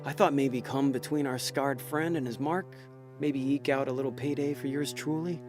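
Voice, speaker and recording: Male con man played by an actor, noir audio drama. Cocky, slangy, wry, mid-pitched, music underneath. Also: steady tone, moderate pace, lightly acted. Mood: wry, musing. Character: laid-back small-time con man, cocky, smooth-talking tough.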